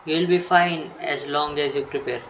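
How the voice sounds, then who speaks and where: A man's voice: fairly high pitch, steady tone, moderate pace, a bit bright. A man from India with an Indian accent giving casual gameplay commentary as a streamer. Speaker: gaming streamer. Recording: dull, noisy home microphone.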